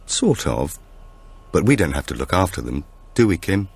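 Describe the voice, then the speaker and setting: A man voicing a caring, brisk young mother in an audiobook. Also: sits low, big pitch swings, creaky, darkish tone, husky, full character voice. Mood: reassuring. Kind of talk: audiobook character voice.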